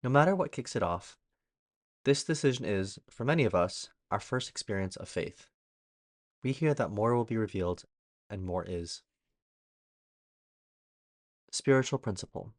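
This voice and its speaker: A man's voice: fairly low pitch, lively intonation, moderate pace, pauses often, dry recording, studio quality. A man reading a scripted daily reflection aloud for a recovery podcast. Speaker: guest reader on a recovery podcast.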